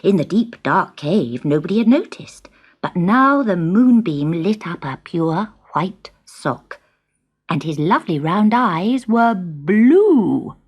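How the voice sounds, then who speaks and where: A woman reading a scripted children's story in an expressive, dramatic way with measured phrasing. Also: fairly low pitch, husky.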